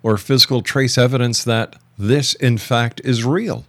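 A man's voice: mid-range voice, fairly even intonation, measured pace, a bit bright, no echo. A man hosting a radio interview, putting probing questions to a guest in a conversational way. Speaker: radio show host, interviewer.

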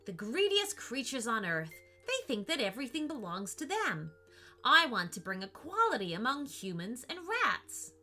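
A woman's voice: on the high side, sing-song, moderate pace, bright, forceful tone, faint music behind, full character voice. A woman voicing an earnest, polite young boy in a storybook reading. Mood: indignant. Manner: polite, calls others sir.

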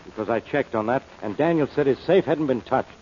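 An actor playing a stubborn, exasperated police inspector in an old-time radio drama, blunt and argumentative. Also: male voice, mid-range voice, expressive, a little fast, darkish tone, a little hiss or hum, natural voice. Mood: irritated. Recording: dull old radio recording.

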